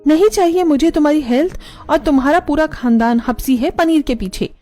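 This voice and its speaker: High-voiced exasperated cartoon woman. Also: a little fast, mellow. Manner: exasperated, scolding. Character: irritated young bride fed up with paneer. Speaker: voice actor voicing several women. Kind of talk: cartoon dialogue.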